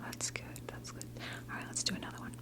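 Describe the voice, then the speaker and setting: An American woman in her fifties voicing a gentle, reassuring witch tarot reader in a breathy ASMR whisper. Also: close to an everyday voice. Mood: soothing, reassuring. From New Jersey, United States. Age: 50s. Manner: slow, soothing, hesitant pauses, encouraging. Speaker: tarot card reader in role play. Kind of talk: ASMR whispered role play.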